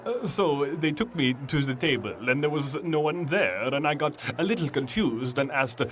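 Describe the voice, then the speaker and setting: A man playing an awkward, lovestruck centuries-old vampire in a comedy audio drama, speaking bashfully with halting stammers. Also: on the high side, animated, slight background noise, natural voice. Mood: fond, earnest. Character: lovestruck, awkward centuries-old male vampire telling his dating story. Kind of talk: mock dating testimonial in comedy audio drama.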